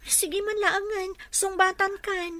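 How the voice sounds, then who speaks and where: A woman's voice: extremely high pitch, flat delivery, takes it slowly, sharp tone, full character voice. An actress playing a coy, flustered young female clerk being courted in an Ilocano radio comedy drama, full of questioning exclamations. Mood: coy, teasing.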